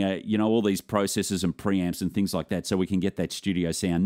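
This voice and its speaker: Upbeat Australian man, lowish voice. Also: a little mellow. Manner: enthusiastic, upbeat presenter delivery. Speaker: presenter reviewing a microphone. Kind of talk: product review demo. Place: home studio desk. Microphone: USB condenser on boom arm with pop screen.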